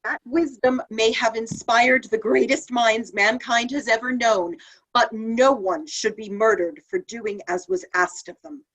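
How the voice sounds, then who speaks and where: Woman as indignant saint, high voice, animated pitch, challenging, emphatic, stage play table read. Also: a little slow, heavily acted, rough recording. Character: outspoken, indignant female saint doubting heaven's plan.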